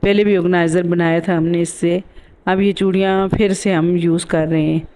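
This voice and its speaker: A woman's voice: lowish voice, fairly even intonation, normal speed, a little mellow, slight background noise. A woman with a sore throat giving a casual voice-over for a DIY craft tutorial as the host.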